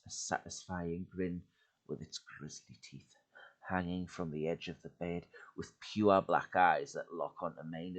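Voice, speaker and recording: A man giving a dramatic reading of a horror story into a home desk mic, swinging from loud urgency to hushed, breathy lows. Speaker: YouTube creepypasta reader.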